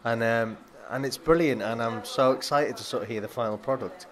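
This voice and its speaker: A man's voice: medium pitch, fairly quick. A man, an actor, answering interview questions eagerly, heaping on praise and laughing easily.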